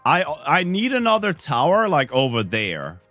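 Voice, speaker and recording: A man's voice: a little high, huge pitch swings, normal speed, sharp tone, no echo, soft background music. A man with a Danish accent, joking and rambling to his chat while he plays a game, a little under the weather. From Denmark. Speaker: streamer. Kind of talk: live-stream chat while playing a game.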